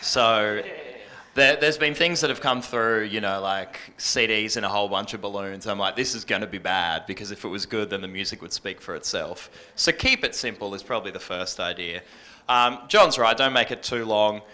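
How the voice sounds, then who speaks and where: A man's voice: on the low side, moderate pace, bright, forceful tone. A man in his thirties giving casual advice as a panellist into a handheld mic. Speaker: radio panellist. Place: indoor music venue, panel stage. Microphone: handheld microphone.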